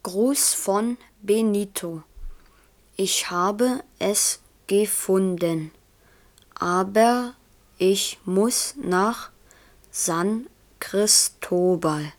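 An actress playing someone reading a simple letter aloud, haltingly and word by word, in a German radio play. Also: female voice, mid-range voice. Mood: careful.

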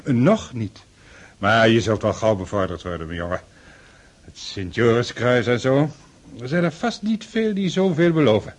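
Actor as a husky, fond old count. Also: male voice, medium pitch, lively intonation, normal speed, close to an everyday voice. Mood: fond, indulgent. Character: fond older Russian count, anxious indulgent father. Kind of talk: radio drama acting.

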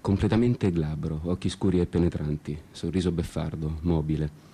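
A man narrating a scripted first-person story in an ironic, disenchanted, deliberately repetitive way. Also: rumbling low, fairly even intonation, dark tone, husky. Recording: prominent music under the voice. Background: plucked guitar music.

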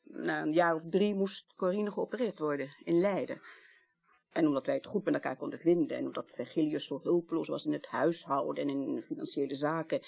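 An older woman with a slightly low voice in a radio drama. She is composed and candid. Her delivery is matter-of-fact and hospitable, like natural conversation. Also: steady tone, fairly quick, creaky, darkish tone. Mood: frank, reminiscing. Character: candid, composed woman of about sixty, longtime mistress.

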